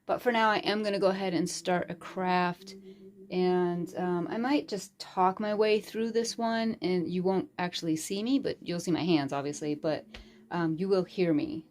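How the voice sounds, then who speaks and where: A woman with a sore, hoarse throat, casually talking through a craft tutorial in a small bedroom craft corner, rambling with lots of fillers. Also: fairly low pitch, steady tone, normal speed, a little mellow. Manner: rambling and chatty, with frequent fillers. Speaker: crafting channel host. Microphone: phone camera.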